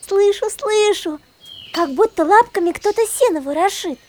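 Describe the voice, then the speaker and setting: An actress playing a curious, eager little granddaughter in a children's audio play, asking questions in a polite, childlike way. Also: female voice, extremely high pitch, expressive, normal speed, slight background noise. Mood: listening intently.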